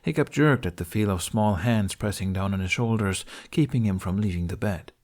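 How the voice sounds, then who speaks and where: Low-pitched man narrating an audiobook. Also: moderate pace, a little mellow. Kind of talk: scripted fiction narration.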